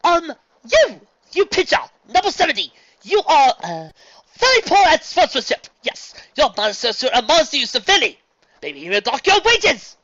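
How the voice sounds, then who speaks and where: A woman's voice: high-pitched, wildly swooping pitch, moderate pace, muffled recording, pitched above the performer's own voice, full character voice. A woman doing a stiff, formal princess pony. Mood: indignant. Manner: stiff formal wording, hesitant shouting.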